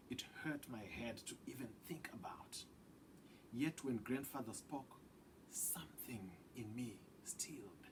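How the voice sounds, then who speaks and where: A man reading a children's picture book aloud with deliberate, dramatic phrasing that drops into hushed tones. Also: medium pitch, noisy background. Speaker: read-aloud storyteller.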